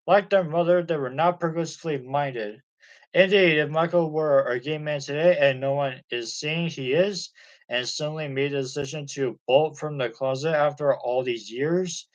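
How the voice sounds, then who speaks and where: A man's voice: a little high, lo-fi sound. A man reading a biography aloud, in a plain way with occasional slips. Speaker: audiobook reader.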